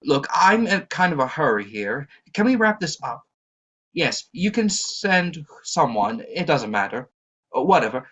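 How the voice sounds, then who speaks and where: Man acting a polite flustered lobby attendant, lively pitch. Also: measured pace, slightly rough, dull audio, heavily acted. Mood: flustered, impatient. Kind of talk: audio play acting.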